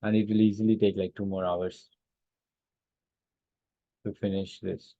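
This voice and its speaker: A man teaching a live online software lesson informally, haltingly thinking aloud as he demonstrates. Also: on the low side, level tone. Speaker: instructor. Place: online class call with screen sharing. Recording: roomy, dull lo-fi online-call audio.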